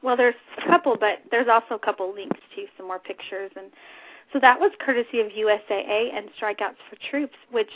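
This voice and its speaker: A woman's voice: fairly high pitch, fairly quick. A woman with a scratchy voice hosting an unscripted talk show, chatting casually and informally. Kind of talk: unscripted casual radio chat. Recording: tinny phone-line internet radio audio.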